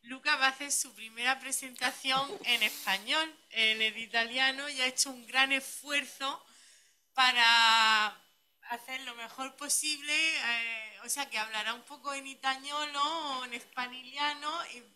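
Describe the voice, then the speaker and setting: A woman's voice: high voice, fairly even intonation, unhurried, bright, forceful tone, a little hiss or hum. A woman at a conference making lighthearted, reassuring remarks to the audience as the speaker's language helper.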